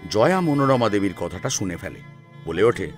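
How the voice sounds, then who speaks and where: A man narrating a scripted story in an expressive, dramatic storytelling manner. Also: fairly low pitch, moderate pace, soft background music. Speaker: narrator and co-presenter.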